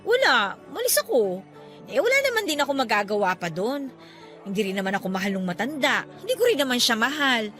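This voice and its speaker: A playful, chatty young businesswoman played by an actress in an audio drama. Her voice is high and very animated, swinging widely as she teases and exclaims. Music plays behind her. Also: fairly quick. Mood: frank, breezy. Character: playful, chatty young self-made businesswoman, reunited old flame. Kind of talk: Filipino audio drama acting.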